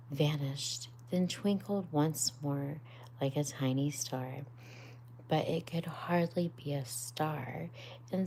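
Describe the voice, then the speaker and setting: Slow, low hushed woman storyteller. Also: creaky, slight background noise. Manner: soft, hushed narration, often near a whisper; raises voice for characters' lines. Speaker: storybook reader on a live stream. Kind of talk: bedtime story read-aloud.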